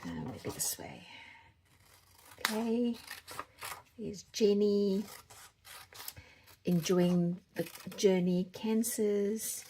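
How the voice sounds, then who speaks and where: A woman's voice: mid-range voice, darkish tone, slight background noise, rough recording. A South African woman chatting casually to viewers as the host of a craft channel. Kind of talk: casual chat to viewers while doing a giveaway drawing.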